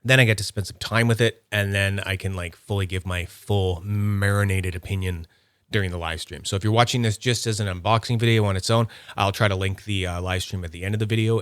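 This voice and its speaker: Chatty upbeat man, lowish dry voice. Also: steady tone, moderate pace, smooth, no echo. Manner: chatty, thinks aloud while handling items; nerdy and upbeat. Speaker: YouTube fidget toy reviewer. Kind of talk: casual unboxing commentary.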